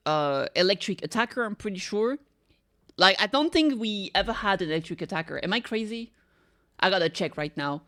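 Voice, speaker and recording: A woman's voice: mid-range voice, fairly bright tone. A woman streamer chatting casually, rambling and thinking aloud as she reacts to games.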